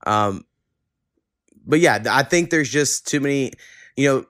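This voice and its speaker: A man's voice: medium pitch, expressive, unhurried, a bit bright, no room sound, clean studio sound. A man hosting a podcast in loose, informal chat, with a self-deprecating way of talking. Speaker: podcast host. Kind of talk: casual conversational podcast talk.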